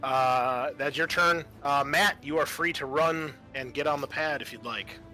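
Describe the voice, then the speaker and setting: A man acting as game master for a tabletop role-playing game, explaining the action and rules in a halting way. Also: a little high, bright, forceful tone, faint music behind, rough recording.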